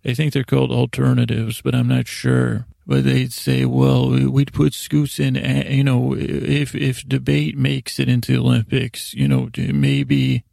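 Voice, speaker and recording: A man hosting a sleep podcast, rambling in a halting, self-interrupting way with silly, self-deprecating asides that trail off mid-thought. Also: mid-range voice, flat delivery, gravelly, mellow, dry recording, clean studio sound. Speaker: podcast host.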